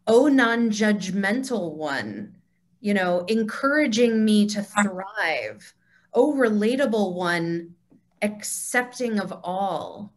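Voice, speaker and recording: Slow-paced woman leading a discussion. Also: mid-range voice. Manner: encouraging, affirming. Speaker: discussion leader of a temple group. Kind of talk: informal group teaching and discussion. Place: video call.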